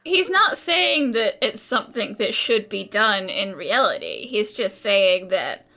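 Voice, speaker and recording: A woman's voice: mid-range voice, very animated, a little slow, gravelly, pushed and bright, faint music behind, exaggerated voice. A woman playing a playful, teasing young traveler, easily amused, in video game voice-over. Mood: explaining. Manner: teasing, giggly.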